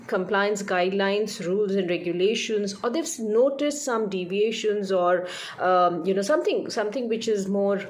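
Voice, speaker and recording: A woman's voice: mid-range voice, slight background noise. A woman speaking as a communication coach, giving an informal instructional explanation, stressing key words and often checking in with a short tag question.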